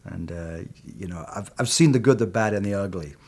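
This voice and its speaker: A middle-aged man from Peru whose first language is Spanish, answering interview questions as a film producer and distributor in a measured, reflective way, often adding "you know". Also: low-pitched, a little mellow.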